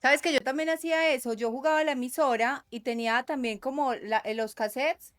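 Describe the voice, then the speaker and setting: A woman with a Colombian accent hosting a casual interview, engaged and quick to interject with follow-up questions. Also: sits high. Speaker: interviewer, host.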